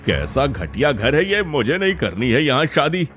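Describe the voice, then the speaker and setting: A man's voice: mid-range voice, sing-song, moderate pace, fairly bright tone, slightly rough, faint music behind, voice pushed up. A man voicing an offended older suitor in a cartoon story, indignant and blustering.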